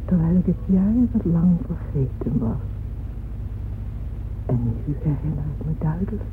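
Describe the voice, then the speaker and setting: An actress playing a weary old widow who slowly and wistfully muses on the past in a radio drama. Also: female voice, deep voice, lively intonation, background noise. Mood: wistful, musing. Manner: slow, musing, reminiscing. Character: weary old widow wistfully remembering long-dead friends. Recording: tinny narrow-band old radio recording, music behind.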